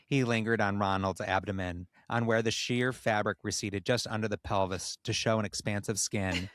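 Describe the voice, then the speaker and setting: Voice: deep voice, fairly even intonation, moderate pace, no room sound. A podcast host reading fan fiction aloud in a playful, dramatic way, breaking off for joking asides.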